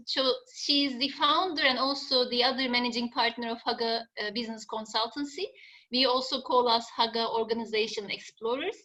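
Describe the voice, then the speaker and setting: A woman's voice: sits high, bright, forceful tone, poor audio. A woman hosting an online session, introducing the speaker in a formal, hesitant way. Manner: formal, hesitant reading of a bio. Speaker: session host.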